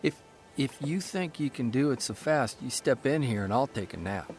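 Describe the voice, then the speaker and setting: An actor playing a tired young newspaperman, giving dry, wry replies and hushed pleading. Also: mid-range voice, animated, normal speed, soft background music, close to an everyday voice. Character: weary young newspaperman, son and husband. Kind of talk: radio drama acting.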